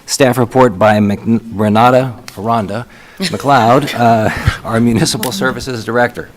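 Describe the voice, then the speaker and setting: A man chairing a city council meeting, speaking in a halting way with frequent restarts and fillers as he runs votes and introduces items. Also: medium pitch, fairly even intonation.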